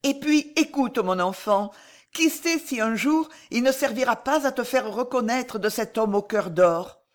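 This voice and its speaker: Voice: medium pitch, lively intonation, a little slow, pitched above the performer's own voice, lightly acted. A woman playing a tender, grieving noble mother. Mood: tender, grave. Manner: solemn, tender, formal theatrical speech.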